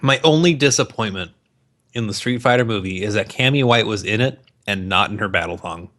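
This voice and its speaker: A man's voice: fairly low pitch, brisk, a bit bright. Sarcastic man bantering on a podcast. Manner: sarcastic, wisecracking. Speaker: podcast co-host. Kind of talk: casual, profane banter about movies.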